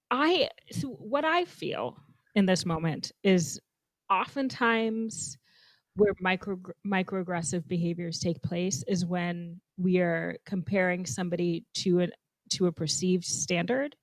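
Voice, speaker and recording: A young woman from Zambia, co-hosting a podcast in animated, thoughtful casual conversation. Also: lowish voice, slow pace, dry recording, poor audio. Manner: animated, thoughtful, laughs often. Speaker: podcast co-host.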